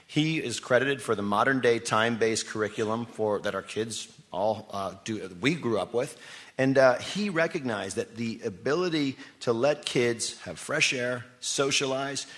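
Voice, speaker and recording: A man's voice: medium pitch, normal speed, fairly bright tone. A man speaking as an executive guest in a live radio interview, telling stories in a conversational, enthusiastic and persuasive way. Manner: enthusiastic, persuasive, poses rhetorical questions to listeners.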